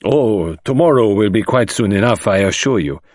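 A man voicing a brisk, officious French magistrate who speaks urgently and formally. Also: fairly low pitch, expressive, darkish tone, dry recording, studio quality.

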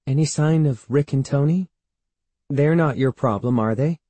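A man's voice: mid-range voice, normal speed, warm tone, dry recording, voice pushed up, close to an everyday voice. A man voicing a teasing, practical young girl in a matter-of-fact manner for a children's storybook. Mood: curious. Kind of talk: children's audiobook character voice.

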